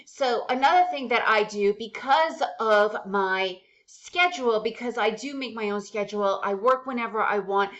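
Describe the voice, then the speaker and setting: Woman host, first language Russian, chatty and upbeat, voice on the higher side, slightly muffled recording. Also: normal speed, hardly any pauses, fairly bright tone. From Soviet Union, raised in the United States. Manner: upbeat, chatty, asks viewers questions. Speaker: day-trading channel host. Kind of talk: casual direct-to-camera vlog.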